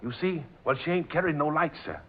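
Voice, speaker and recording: An actor playing an earnest, excitable sailor, respectful and hesitant with folksy seaman's talk, in an old-time radio drama. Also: fairly high pitch, fairly quick, close to an everyday voice. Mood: nervous, deferential. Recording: dull old radio recording with hiss.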